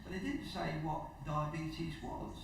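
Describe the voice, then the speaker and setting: A man's voice: on the high side, steady tone, unhurried, creaky. A man in the audience asking jokey, curious questions at a health talk, heard from off the microphone. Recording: echoey hall with background noise.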